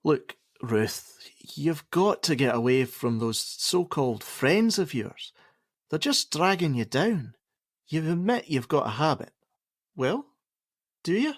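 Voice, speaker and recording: Stern, exasperated social worker, dry sound. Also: male voice, on the high side, big pitch swings, takes it slowly, no room sound, exaggerated voice. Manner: blunt, stern, insistent. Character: curt, exasperated male social worker and father. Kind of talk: naturalistic radio play acting.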